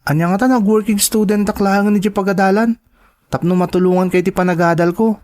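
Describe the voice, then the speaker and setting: Actor as young male college student, high voice, Filipino accent, earnest, determined, imploring radio drama delivery. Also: steady tone, fast talker, a little mellow, close to an everyday voice. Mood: pleading. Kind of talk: Ilocano radio-style drama acting.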